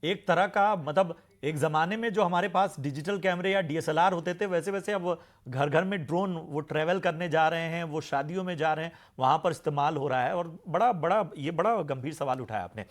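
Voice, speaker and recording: A man moderating a television panel discussion as host, speaking haltingly and repeating words as he goes. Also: fairly high pitch.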